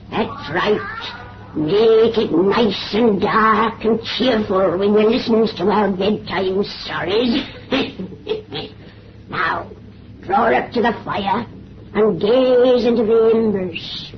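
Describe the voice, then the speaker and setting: A woman's voice: medium pitch, natural voice. A woman playing a gleeful, macabre witch over a hundred years old, cackling and folksy, hosting old-time radio horror tales. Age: very old, as played. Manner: folksy rustic grammar, relishing the macabre. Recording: muffled, hissy vintage radio recording.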